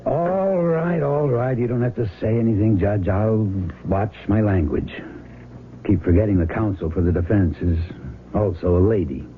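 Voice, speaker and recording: An actor playing a plain-spoken, stubborn small-town Midwestern sheriff in a radio drama, folksy, blunt and a little halting. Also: male voice, fairly low pitch, close to an everyday voice. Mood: exasperated. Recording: tinny, noisy 1970s radio broadcast.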